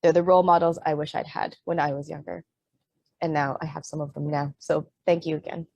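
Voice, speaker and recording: A woman's voice: low-pitched, flat delivery, brisk, vocal fry, darkish tone, no echo. A middle-aged woman from Canada, an author on a panel who talks with many fillers and restarts, then reads aloud from her book. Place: Vancouver.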